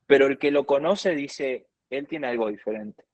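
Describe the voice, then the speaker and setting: A man with an Argentine accent talks through his views as a worship minister, earnest and steady, often quoting scripture. His voice sits at a middle pitch. The sound is dull and dry, with no room echo. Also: normal speed. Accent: Argentine Spanish. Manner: earnest, quotes scripture at length. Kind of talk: conversational teaching.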